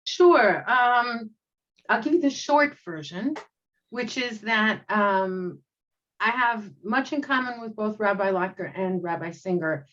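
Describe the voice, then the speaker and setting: A woman in her sixties, a rabbi, telling her own story reflectively on a video call panel, with many pauses and ums. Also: mid-range voice, expressive, a bit bright, muffled recording. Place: home room.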